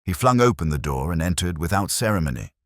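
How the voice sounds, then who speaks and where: A man reading a classic detective tale as narrator, in a formal, measured, literary manner. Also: sits low, big pitch swings, no room sound, studio quality. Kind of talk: scripted audiobook narration of a classic detective story.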